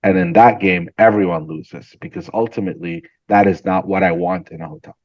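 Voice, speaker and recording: A man from Syria, a guest entrepreneur, telling stories in an animated, conversational interview and often repeating words as he starts a sentence. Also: sits low, fairly quick, no room sound, muffled recording.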